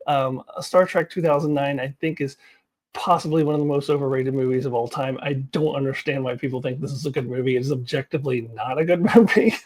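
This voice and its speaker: A woman's voice: a bass voice, fairly even intonation, fairly quick, darkish tone. A woman giving casual, unscripted commentary as a video host, speaking in an emphatic, opinionated way.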